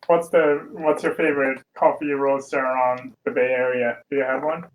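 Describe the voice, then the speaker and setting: A man's voice: medium pitch, level tone, moderate pace, slight background noise, poor audio. A man in a casual online chat, curious and asking questions. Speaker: guest.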